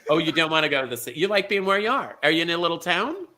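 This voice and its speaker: A man hosting an online bingo show, teasing his guests with quick, campy asides. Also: on the high side, lively intonation, sharp tone.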